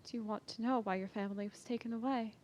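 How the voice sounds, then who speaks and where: A woman voicing a calm, mysterious noblewoman who speaks softly and gently. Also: a little high, fast, close to an everyday voice. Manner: hushed, soft and gentle.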